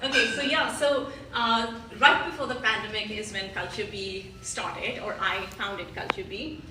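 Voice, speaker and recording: A woman founder giving a live startup pitch, talking in a hesitant way with many fillers. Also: fairly even intonation, a little slow, sharp tone. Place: large meetup venue room. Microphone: livestream phone mic across the room. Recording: echoey room, heard from far off, noisy.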